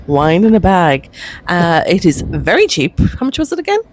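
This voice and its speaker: A woman's voice: fairly low pitch, sing-song, moderate pace, slight background noise. A woman giving a casual, chatty travel voice-over, explaining things as she goes. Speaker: travel vlogger. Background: background music with guitar.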